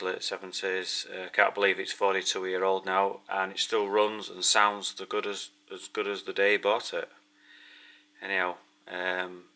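Low, flat-toned Yorkshire man, very bright voice. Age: middle-aged. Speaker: video host. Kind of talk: casual hobby vlog narration. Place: indoors in a home living room.

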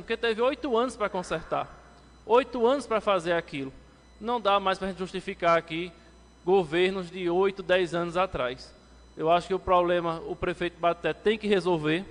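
A man in his thirties from Brazil, a city councillor giving a formal speech at a lectern to the council, addressing the chair and rising in pitch when he presses a point. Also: fairly bright tone. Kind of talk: legislative floor speech. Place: council chamber, indoors, medium-sized. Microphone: lectern microphone, standing. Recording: long reverb from a council chamber.

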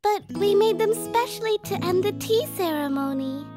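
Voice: very high voice, lively intonation, normal speed, music in the background. A performer voicing a polite, earnest little kindergarten girl in a children's cartoon, sweet and careful in manner. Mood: concerned.

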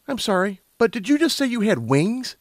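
A man's voice: sits high, sing-song, normal speed, no echo, clean studio sound, voice pushed up. A man voicing an anxious, determined young female unicorn space pilot with an urgent, insistent manner. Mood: puzzled.

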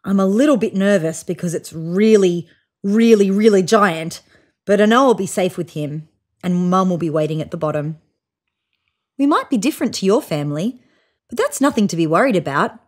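A woman in her thirties reads a children's picture book aloud. Her mid-pitched voice swings widely in tone, with expressive storytelling aimed at young listeners. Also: moderate pace. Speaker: storybook reader. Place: small room indoors, seated on a couch.

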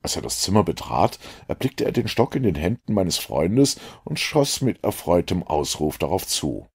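A man's voice: low-pitched, big pitch swings, moderate pace, husky. A man reading classic fiction as a scripted audiobook narration.

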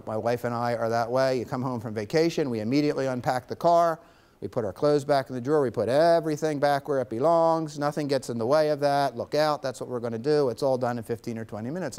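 A man in his fifties giving a lively guest lecture to college students in a classroom, teasing his audience as he talks into a lapel mic. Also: medium pitch. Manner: animated, gestures widely, teasing the audience.

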